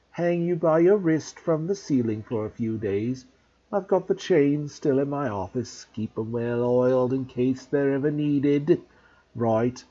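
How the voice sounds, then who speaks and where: A man's voice: medium pitch, dark tone. A man doing a gloating old caretaker. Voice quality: sneering, drawn-out, cackling. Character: bullying gleeful old male school caretaker. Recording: dull lo-fi recording.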